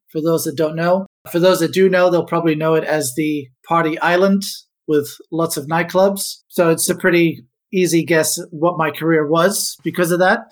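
A middle-aged man, born in the Netherlands and raised in Spain, telling his background as a guest on a podcast in a relaxed, reflective way. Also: a little high, normal speed, hardly any pauses. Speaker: guest, musicologist. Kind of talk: podcast interview.

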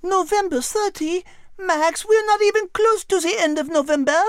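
A woman's voice: very high voice, normal speed, no echo, studio quality. A woman voicing a refined, teasing French cat with a French accent in a comedic audio drama. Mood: exasperated. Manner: teasing, polite, French interjections. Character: sassy, exasperated, refined female French cat. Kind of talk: comedic radio-drama character banter.

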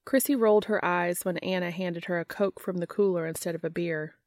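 A woman's voice: fairly low pitch, fairly even intonation, a little fast, a little mellow, dry recording. Woman reading fiction aloud in dry, close sound. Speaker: fan fiction audiobook narrator. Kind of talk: scripted narration, reading a story aloud with character dialogue.

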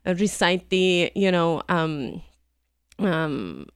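A middle-aged woman from Iran speaking as a guest on an interview show, hesitant with many pauses and fillers and laughing easily. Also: lowish voice, animated, smooth, no room sound, studio quality. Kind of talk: conversational interview.

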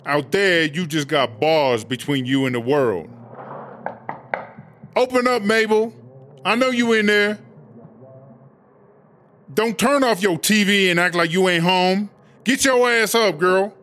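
A man's voice: mid-range voice, a little slow, hardly any pauses, a bit bright, a little hiss or hum, lightly acted. A man playing a brash, vain, bossy female prison inmate in a comedic audio drama, with sassy, slangy talk. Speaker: interviewed inmate character.

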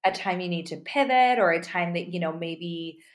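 A woman with a lowish voice hosts a relaxed podcast interview. She talks in a chatty, encouraging way, often circling back with fillers as she shapes her questions. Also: moderate pace. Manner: chatty, affirming, with frequent fillers. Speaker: podcast host.

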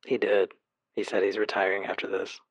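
A player voicing a film star's assistant, halting and confiding, in improvised tabletop roleplay. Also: low voice, level tone, slightly rough, dry recording. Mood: quietly knowing. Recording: slightly dull, dry recording.

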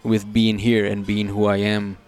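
A man's voice: lowish voice, monotone, normal speed, a little mellow, clean tone. A young man who grew up in Brazil, a musician talking thoughtfully in an interview, often repeating words as he searches for what to say. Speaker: musician guest. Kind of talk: conversational interview.

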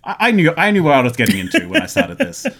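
Bright, animated Australian man. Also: fairly high pitch, racing, husky. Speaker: podcast guest and audio drama creator. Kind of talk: casual interview chat.

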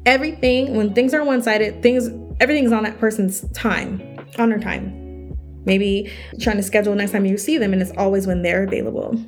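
A woman's voice: a little fast, soft background music. A young woman hosting her own podcast as a self-worth coach, talking casually and chattily, her voice a little scratchy. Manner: chatty, animated, quotes others in higher voices. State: slightly scratchy voice. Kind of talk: casual solo podcast talk.

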